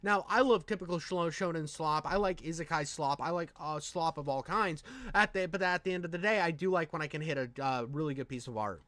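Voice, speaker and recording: A man's voice: fairly high pitch, a little fast, a bit bright. A man giving casual, unscripted reaction commentary in a rambling, slangy, enthusiastic way, with some swearing. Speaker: reaction channel host.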